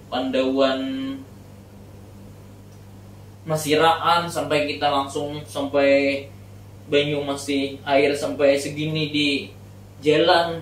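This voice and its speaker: Man, early thirties, flat intonation, wordy casual interview answers, distant mic. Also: mid-range voice. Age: early 30s. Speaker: podcast guest, youth organisation secretary and teacher. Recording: echoey room, distant mic, faint noise.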